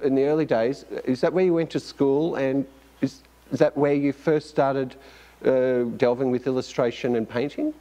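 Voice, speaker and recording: A man's voice: mid-range voice, steady tone, normal speed, warm tone. Hesitant middle-aged male show host. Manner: hesitant, many fillers. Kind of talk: interview hosting. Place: studio with staircase.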